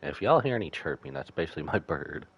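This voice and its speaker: A man's voice: extremely low pitch, sing-song, fast talker, gravelly, slightly rough, muffled recording. A man live-streaming a casual reaction to a game, commenting in a playful, slangy way.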